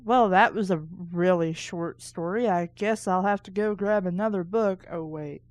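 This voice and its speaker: A woman's voice: lowish voice, measured pace, a little mellow, no echo, clean studio sound. A woman casually reading a book aloud, with sarcastic, mildly crude asides and stumbles she corrects as she goes. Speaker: reader and reviewer of children's books.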